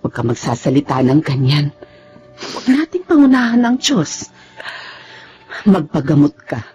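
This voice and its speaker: An actress playing a concerned, firm confidante in a radio drama, speaking in an earnest, admonishing way. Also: female voice, low voice, big pitch swings, normal speed, a little mellow. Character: concerned, firm, God-fearing confidante of an ailing woman. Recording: dull lo-fi radio drama with background music.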